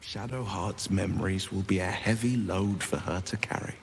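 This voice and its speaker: A man's voice: low voice, moderate pace, gravelly, slight background noise. An actor playing a solemn, compassionate male adventurer in a video game. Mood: solemn sympathy.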